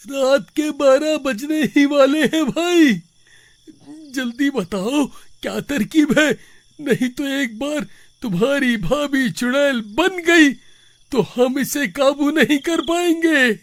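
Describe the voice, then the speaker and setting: A man's voice: very high voice, takes it slowly, dry recording, heavily acted. A man playing a cowardly, whiny husband who frets and exclaims in a comic horror story. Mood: anxious. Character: panicky cowardly husband hiding from his witch wife.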